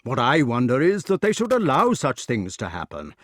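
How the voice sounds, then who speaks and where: A man's voice: medium pitch, wildly swooping pitch, moderate pace, fairly bright tone, no room sound, studio quality. A man voicing a blustering, indignant old knight in a storybook, solemn and pompous in manner. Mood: disapproving.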